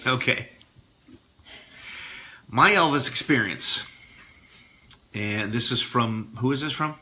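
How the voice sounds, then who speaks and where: A man's voice: mid-range voice, slow pace, sharp tone, a little hiss or hum. A man hosting a radio show, reading a listener's letter aloud expressively with the odd aside.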